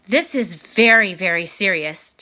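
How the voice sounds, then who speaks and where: A woman's voice: mid-range voice, expressive, measured pace, bright, forceful tone. A woman voicing a serious, concerned male school principal questioning a pupil in a storybook. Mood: grave.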